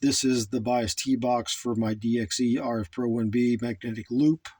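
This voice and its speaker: A man talks in a middle register as he shows off his hobby setup. His delivery is casual and unscripted, with plain wording. He goes along haltingly and often repeats himself. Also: mid-range voice, steady tone, a little mellow, breathy edge. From United States. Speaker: radio hobbyist giving a tour of his setup. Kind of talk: casual unscripted show-and-tell. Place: small home radio room.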